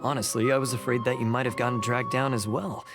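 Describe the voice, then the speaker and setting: A man's voice: medium pitch, steady tone, fast, music in the background, close to an everyday voice. A man voicing a concerned, puzzled colleague in a video game.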